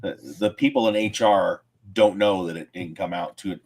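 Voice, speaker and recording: Male co-host, low voice, plain-spoken and argumentative. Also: normal speed, a little mellow, soft background music. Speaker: podcast co-host. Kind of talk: casual chat.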